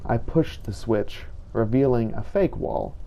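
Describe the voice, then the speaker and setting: A man's voice: mid-range voice, measured pace, warm tone, lightly acted. A man narrating a game roleplay as a grim, devout vampire hunter, in a solemn and formal storytelling manner. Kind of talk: scripted in-character story narration.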